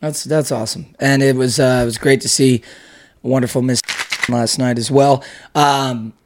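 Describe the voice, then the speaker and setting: A man hosting a podcast, his voice hoarse, chatting in an upbeat, mock-grand way with plenty of swearing. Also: medium pitch, fairly even intonation, moderate pace, background noise. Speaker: podcast host. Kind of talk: casual crude banter. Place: room in a house used as studio.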